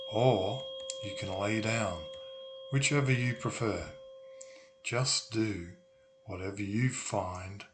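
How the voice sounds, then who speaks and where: A man narrating a guided meditation in a soothing, deliberate way with long pauses. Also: fairly low pitch, background noise. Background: humming tuning forks.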